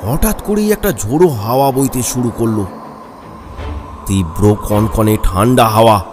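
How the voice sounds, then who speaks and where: A man's voice: fairly low pitch, expressive, measured pace. A man narrating a horror story in a dramatic, scripted storytelling style. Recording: music behind the voice.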